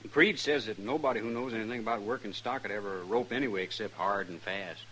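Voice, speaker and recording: Guy acting polite young cowboy drifter, folksy, bright voice, slightly noisy old radio show. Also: lowish voice, fast, natural voice. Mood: explaining earnestly. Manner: folksy, respectful. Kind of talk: 1950s radio western acting.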